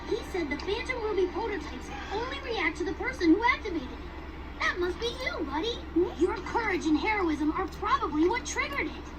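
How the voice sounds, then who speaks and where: Tiny sweet critter sidekick from a game, curious n earnest, super high voice. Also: female voice, very noisy. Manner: earnest, encouraging. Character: sweet, curious young cartoon animal sidekick. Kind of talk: animated video game cutscene acting. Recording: loud music behind.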